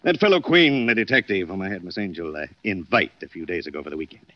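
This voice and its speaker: An older actor playing a crafty, excitable wealthy diamond seller in 1940s radio drama style, with muttered asides. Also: male voice, deep voice, fast, no echo. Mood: sly, guarded. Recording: dull lo-fi 1940s radio recording.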